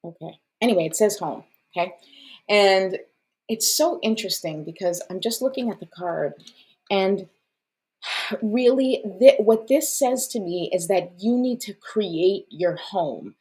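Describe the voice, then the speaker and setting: A woman's voice: mid-range voice, unhurried. Chatty woman host reading oracle cards. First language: Portuguese. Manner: warm, effusive, chatty with fillers and laughs. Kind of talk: casual live-stream chat.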